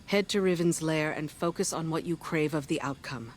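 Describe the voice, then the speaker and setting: A woman's voice: sits low, normal speed, close to an everyday voice. Game casting for a woman: pragmatic, wary female officer, wry and businesslike in mission briefings, faint ambient noise behind. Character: dry, pragmatic, wary female military commander. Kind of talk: video game mission dialogue.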